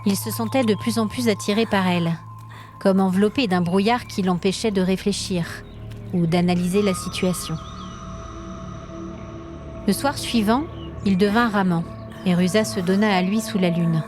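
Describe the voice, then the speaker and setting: A woman storyteller reading scripted dramatic narration in an expressive, suspenseful manner. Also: medium pitch, moderate pace, long pauses, over music.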